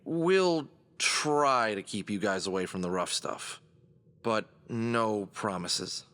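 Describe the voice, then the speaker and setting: A man's voice: fairly low pitch, takes it slowly, fairly bright tone. A man playing a determined fox adventurer who leads his team earnestly in an audio drama. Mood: resolute.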